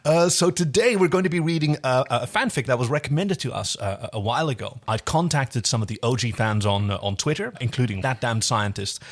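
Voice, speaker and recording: A man's voice: mid-range voice, fairly quick, hardly any pauses. A man co-hosting a podcast in casual chat, introducing and reading fan fiction. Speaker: podcast co-host.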